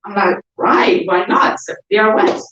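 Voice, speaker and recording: A woman's voice: medium pitch, a little slow, a bit bright. A woman from DR Congo speaking as an interview guest, telling her own story conversationally, often saying "you know" and "whatnot". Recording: echoey, distant, dull poor sound.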